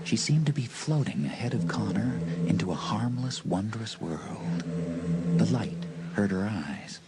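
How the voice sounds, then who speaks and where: Deep-voiced, dramatic male horror narrator. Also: animated, measured pace, heavy background noise. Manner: suspenseful, theatrical.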